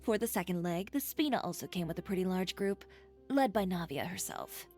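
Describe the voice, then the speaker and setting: An actress playing a blunt, self-assured young woman who designs fashion, in a brisk, matter-of-fact manner for a video game. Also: lowish voice, animated, darkish tone, soft background music, close to an everyday voice. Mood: dry, composed. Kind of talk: scripted video game voice acting.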